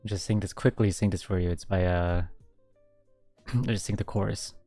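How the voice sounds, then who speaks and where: A young man streaming a casual radio-style chat, reading out listener submissions, laughing often and reacting in an animated way. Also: moderate pace, no room sound, soft background music. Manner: animated, laughs often, jumps high when excited.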